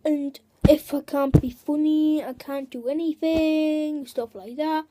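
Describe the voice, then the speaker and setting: High-pitched young woman, slow and rambly, keeps restarting, comedy skit vibe. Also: steady tone, dark tone. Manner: rambling, halting, many fillers and restarts. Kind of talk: comedic sketch monologue in character.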